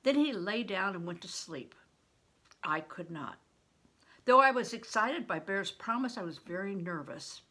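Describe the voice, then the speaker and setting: An older woman reading a children's novel aloud as expressive storytelling narration. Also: mid-range voice, a little slow.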